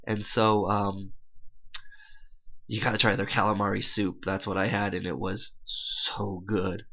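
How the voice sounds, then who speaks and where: A middle-aged man doing a casual, halting interview voice-over with informal, slangy phrasing, as if interpreting live. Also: fairly low pitch, level tone, sharp tone, highs cut off.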